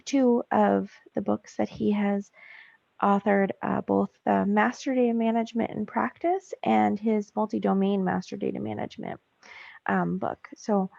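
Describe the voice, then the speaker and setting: Female meeting host with a gravelly edge, hesitant delivery, muffled dry call sound. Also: medium pitch, a little mellow, no echo. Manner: halting, frequent ums. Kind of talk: webinar introduction. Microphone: video call. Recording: dull dry call audio.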